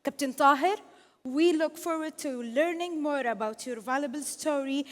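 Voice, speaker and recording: Female moderator, formal scripted delivery, high voice, heard in a reverberant hall. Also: slight background noise. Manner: formal, reads prepared questions. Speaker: host and moderator. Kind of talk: scripted stage introduction and questions. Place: large event hall.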